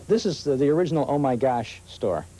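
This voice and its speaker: A man's voice: medium pitch, animated, fairly quick, mellow, poor audio. An American man, a shop owner, chatting casually in an interview inside his store. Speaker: shop owner and old-time radio host.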